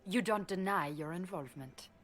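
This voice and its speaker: An actress playing a sly court spymaster in a video game, sardonic and needling. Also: female voice, on the low side, moderate pace, fairly bright tone. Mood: accusing. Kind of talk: video game cutscene acting.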